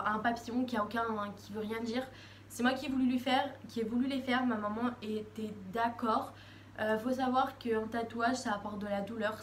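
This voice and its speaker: Une adolescente qui parle face à sa caméra, bavarde, hésitante, avec beaucoup de « euh » et de reprises. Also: female voice, fairly high pitch. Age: teens. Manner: chatty, rambling, many fillers and restarts. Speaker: vlogger. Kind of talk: casual talk to camera. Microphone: phone camera. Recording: echoey room, faint background noise.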